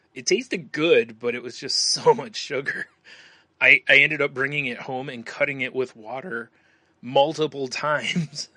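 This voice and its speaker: Bright-voiced man in casual, joking chat. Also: mid-range voice, measured pace, no echo. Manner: joking, laughs between phrases. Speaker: podcast co-host.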